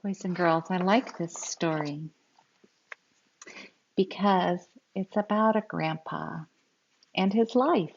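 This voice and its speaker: A woman reading a picture book aloud to young children in an expressive storytelling style, with simple explanatory asides. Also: lowish voice, slow, gravelly, pauses often, dull audio.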